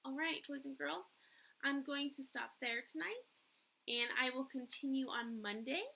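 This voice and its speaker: A woman teacher reading a storybook aloud with expressive narration. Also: sits high, measured pace, gravelly, poor audio.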